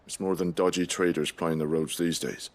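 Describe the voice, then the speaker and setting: An actor playing a gruff, talkative mercenary gate guard in a video game, with a British accent and a blunt, colloquial, grumbling manner. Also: male voice, low voice, steady tone, normal speed, darkish tone. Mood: grudgingly helpful.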